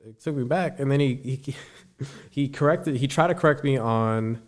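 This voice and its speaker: A young man in his twenties with a deep voice, a podcast guest speaking earnestly as he tells stories in a casual interview. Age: 20s. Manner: earnest, storytelling, halting.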